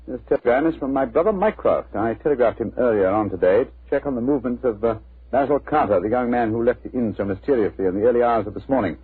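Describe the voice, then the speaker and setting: A confident English detective in a forties radio mystery. He is incisive and fast, with a very raspy voice, on a dull old recording with some music behind. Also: medium pitch, no echo. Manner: clipped, incisive, authoritative. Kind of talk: 1940s radio drama acting.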